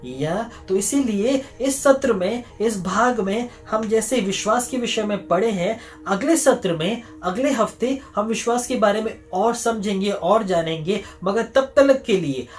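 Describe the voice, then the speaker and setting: Guy pastor w high voice preaching earnestly, repeats phrases, soft music behind. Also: expressive, unhurried, hardly any pauses. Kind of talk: sermon, Bible teaching to viewers.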